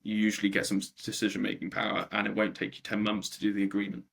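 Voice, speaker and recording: A young man in his twenties, a startup co-founder answering interview questions in a conversational way with a deep voice and frequent ums. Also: monotone, fast talker, creaky, a bit bright, slightly rough. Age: 20s.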